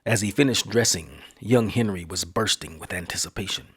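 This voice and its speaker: A man hosting a podcast, telling a spooky story in a slow, hushed, dramatic studio reading. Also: low voice, breathy edge.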